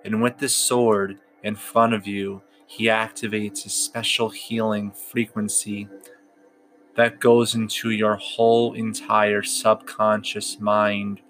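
A man leading a guided meditation in a slow, soothing, drawn-out way with long pauses. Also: fairly low pitch, fairly bright tone. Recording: clear music bed behind the voice.

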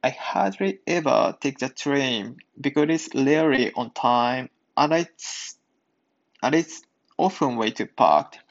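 A man hosting an English practice podcast, speaking and reading aloud in careful, halting learner's English. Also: medium pitch, lively intonation, gravelly. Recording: dull lo-fi sound.

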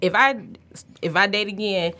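A woman's voice: mid-range voice, moderate pace, sharp tone. Outspoken woman in her thirties. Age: 30s. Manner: brash, outspoken. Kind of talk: casual podcast chat.

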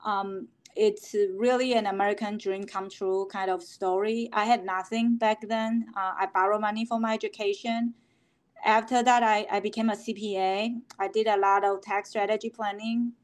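A middle-aged woman from Taiwan, answering interview questions as a company founder, halting with many fillers and restarts. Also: medium pitch, little pitch movement.

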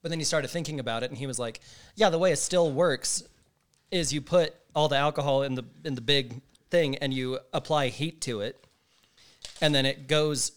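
A man's voice: medium pitch, smooth. A man co-hosting a casual chat, telling stories with frequent laughter. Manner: animated storyteller, laughs often.

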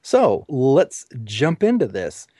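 A man hosting an informal interview show, speaking haltingly and repeating words as he goes. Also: medium pitch, wildly swooping pitch, dark tone, no room sound, studio quality.

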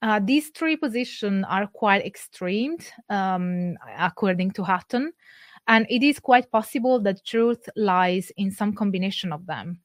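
A woman's voice: medium pitch, dry recording. Woman giving a dry lecture. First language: Italian. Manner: measured, explanatory, careful. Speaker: presenter. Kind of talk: academic lecture.

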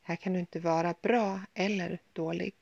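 A woman guiding a yoga nidra body scan in a soothing, gentle, unhurried manner with pauses. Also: fairly low pitch, steady tone, vocal fry, no echo, lo-fi sound. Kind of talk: guided meditation, body scan.

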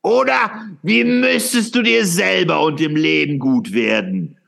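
A man playing a fervent, brooding philosopher in a radio play, declaiming haltingly with dramatic pauses and repetitions. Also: high voice, vocal fry, fairly bright tone, heavily acted. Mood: grave, urgent. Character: fervent, brooding male philosopher proclaiming eternal return. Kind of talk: theatrical radio play monologue.

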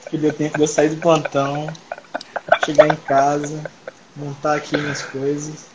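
A man, chatting as a guest surgeon in a relaxed interview over a video call. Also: on the high side, takes it slowly, long pauses. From Salvador, Bahia, Brazil. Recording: noisy home video call audio.

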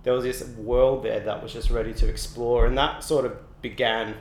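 A man's voice: medium pitch, little pitch movement, moderate pace, a little hiss or hum. A young Australian man, an artist, chatting in an interview in a loose, rambling way full of "like" and restarts. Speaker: artist guest. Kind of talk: casual interview. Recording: roomy echo.

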